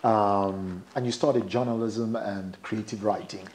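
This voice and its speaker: A man's voice: fairly low pitch, steady tone, measured pace, darkish tone, slight background noise. A middle-aged man hosting a studio interview, asking questions and giving many short, attentive replies. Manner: attentive, frequent short backchannels. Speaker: interview host.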